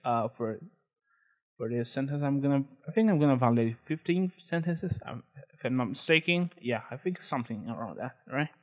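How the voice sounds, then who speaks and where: A young man whose first language is Portuguese, with a Brazilian accent, walking viewers through a website in a relaxed, hesitant way with many fillers and restarts. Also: medium pitch, a little fast. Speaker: tutorial host. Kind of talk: screen-recorded walkthrough.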